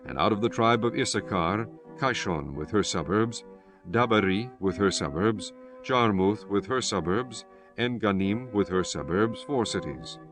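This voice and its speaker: Low-voiced male scripture narrator over music. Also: expressive, unhurried.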